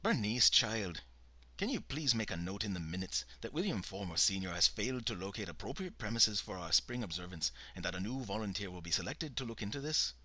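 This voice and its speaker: Stern old man cult elder voiced by a man, scottish accent, commanding, deep voice. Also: expressive, brisk, sharp tone, heavily acted. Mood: exasperated. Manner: exasperated, commanding.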